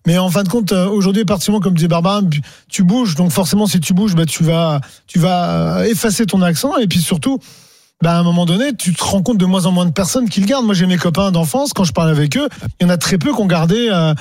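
Very fast, high-voiced man chatting casually. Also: darkish tone, dry recording, studio quality. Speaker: radio panellist. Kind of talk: casual, slangy chat.

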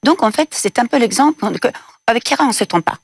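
A woman's voice: on the high side, animated, brisk, gravelly, breathy edge, dry recording. A middle-aged Italian woman with an Italian accent, giving a reflective personal account as an interview guest. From Italy. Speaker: interview guest, business leader.

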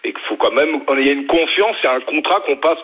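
A man in his fifties from Brittany calling in to a radio show, talking haltingly and restarting his words. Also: a little high, animated, breathy edge, faint music behind. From Brittany, western France. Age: 50s. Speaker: radio caller. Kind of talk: phone-in call. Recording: tinny phone line.

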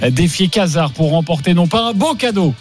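A man's voice: high-pitched, expressive, soft background music. A man hosting a radio show, announcing segments and welcoming callers in a chatty way. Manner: brisk announcing, chatty, laughs easily. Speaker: radio host.

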